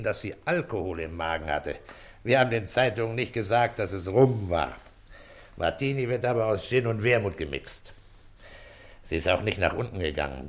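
An actor playing a calm, weary, shrewd senior police inspector in an old German radio crime drama, speaking with deliberate, paternal authority. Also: male voice, on the low side, close to an everyday voice. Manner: deliberate, authoritative, explaining step by step.

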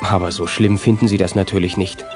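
A man's voice: deep voice, level tone, fairly quick. A man reading scripted narration as the narrator of a children's audio drama. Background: music.

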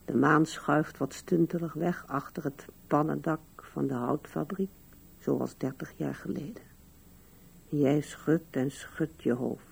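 An older woman acting in a radio drama as a musing, wistful old widow with a gravelly, husky voice. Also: sits low, unhurried, exaggerated voice, poor audio. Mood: wistful, resigned.

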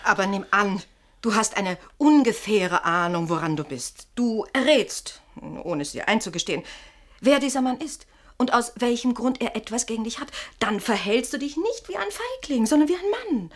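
A woman's voice: fairly high pitch, lively intonation, normal speed. An actress playing a shrewd, insistent woman in a German radio play, pressing her point with persuasive, pointed irony. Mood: insistent, knowing.

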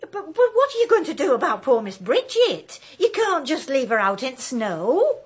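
A flustered British servant in a radio mystery. She is deferential and fussy, with a high voice that rises and falls in an animated way. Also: normal speed. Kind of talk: radio drama acting.

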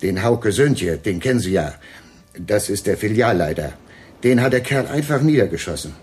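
An actor playing a folksy, apologetic small-town police sergeant in a radio drama, with a hesitant, roundabout way of talking. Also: male voice, lowish voice, animated, normal speed, a little mellow, a little hiss or hum, lightly acted. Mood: chatty. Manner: hesitant, roundabout, colloquial, chatty.

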